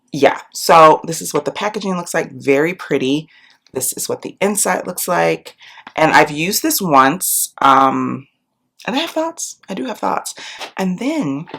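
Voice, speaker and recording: A woman's voice: a bass voice, gravelly, fairly bright tone. Congested woman chatting through a makeup vlog. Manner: chatty, rambling, lots of ums and likes. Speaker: beauty and book vlogger. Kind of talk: casual chat to camera. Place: small room at home, indoors. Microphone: camera close up, face framing.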